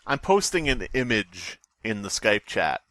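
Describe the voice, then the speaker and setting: A man's voice: lowish voice, sing-song, unhurried, bright, forceful tone, dry recording. A man co-hosting a podcast, making rambling, sardonic casual commentary on scary stories. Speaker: podcast co-host.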